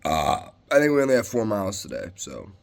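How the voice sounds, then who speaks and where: A man's voice: on the low side, very animated, a little fast, gravelly. A young man with a congested, stuffy voice, casually telling viewers he is getting sick. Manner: casual, talks to viewers as guys. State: congested, clearing throat.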